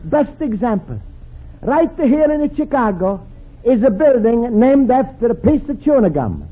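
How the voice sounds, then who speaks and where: A man's voice: extremely high pitch, sing-song, a little slow, breathy edge, phone-quality sound, full character voice. An actor playing a sweet, naive Italian immigrant man with an Italian accent and broken English, in an old radio comedy. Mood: wistful. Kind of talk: 1950s radio comedy acting. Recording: old radio broadcast, studio audience.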